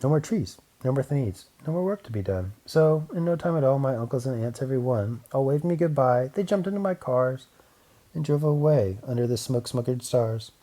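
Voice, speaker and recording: Man, mid-pitched, audiobook reader, expressive rhyming storybook narration, emphatic rhythm. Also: brisk.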